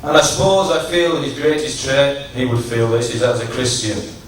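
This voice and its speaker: A man giving a humorous after-dinner tribute speech to an audience, in a teasing, deliberate storytelling manner. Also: mid-range voice. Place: large function room at a dinner, distant microphone. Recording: echoey noisy hall, from far off.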